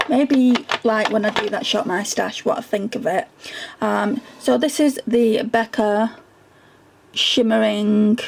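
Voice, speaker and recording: A woman talks casually through her makeup stash, filling pauses with ums and repeating words for emphasis. Her voice is gravelly with fry, and it is wearing out and going hoarse. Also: on the high side. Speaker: beauty vlogger. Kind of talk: casual product review.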